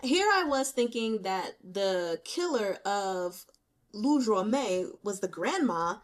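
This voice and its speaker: A woman hosting a reading channel, talking through her notes in a casual, candid, colloquial and animated way. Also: mid-range voice, slow. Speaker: host of a book reading channel. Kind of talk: casual chatty book commentary. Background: rain.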